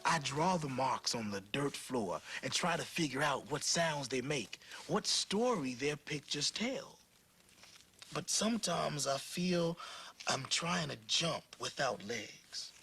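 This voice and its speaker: A man narrating a storybook aloud in an expressive, dramatic way with varied phrasing. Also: fairly high pitch, slow, slight background noise. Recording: clear music playing behind the voice.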